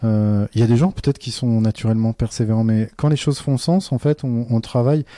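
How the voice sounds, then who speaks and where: A man's voice: medium pitch, fairly even intonation, fairly quick, warm tone, dry recording, studio quality. A man, a teacher, talking earnestly in a casual interview, with many fillers and restarts. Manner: earnest and reflective.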